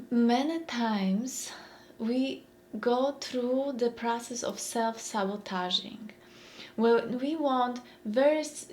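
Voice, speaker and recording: Polish-accented woman in her 40s, slow thoughtful talk, kinda high voice. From Poland. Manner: thoughtful, repeats phrases, searches for words. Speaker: mindfulness speaker. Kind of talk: reflective motivational talk.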